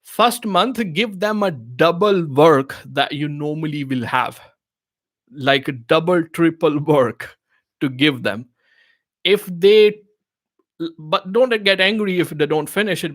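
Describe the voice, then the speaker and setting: A man's voice: a little high, a little slow, a bit bright. A man whose first language is Urdu, hosting a live question-and-answer stream and giving casual business advice in loose, filler-heavy English. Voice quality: non-native English phrasing. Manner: conversational, many fillers and restarts, asks "you know what I mean".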